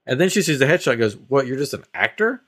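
A man's voice: mid-range voice, very animated, quick pace, a bit bright. A man hosting a podcast in casual banter, retelling things animatedly and acting out little quotes and sound effects. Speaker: podcast host.

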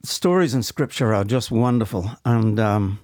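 A man from England with an English accent, giving halting, modest, unscripted answers as an interview guest. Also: lowish voice, animated, darkish tone, no echo, studio quality. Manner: halting, hesitant, modest with repeated words. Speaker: interview guest, amateur stage actor.

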